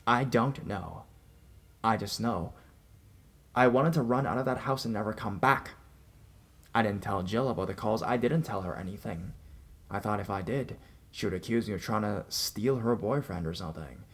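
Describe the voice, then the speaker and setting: A man's voice: on the low side, normal speed, a bit bright. A man narrating scripted first-person scary stories as a storyteller. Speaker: narrator reading listener-submitted scary stories. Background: background music.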